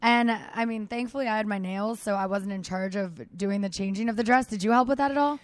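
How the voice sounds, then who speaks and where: A woman's voice: mid-range voice, a little fast, dry recording. A woman hosting a casual podcast chat from a house, bubbly and full of "like". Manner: bubbly, peppered with "like". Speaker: podcast host. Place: living room of a house.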